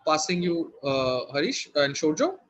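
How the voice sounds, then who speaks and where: A man's voice: a little high, very animated, a little slow, fairly bright tone. A man working as a quizmaster, reading out questions and hosting in a conversational way.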